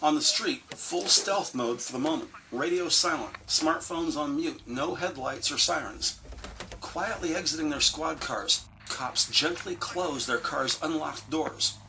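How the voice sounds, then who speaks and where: Higher-pitched man narrating crime drama. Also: a little slow, gravelly, sharp tone, a little hiss or hum, low-quality recording.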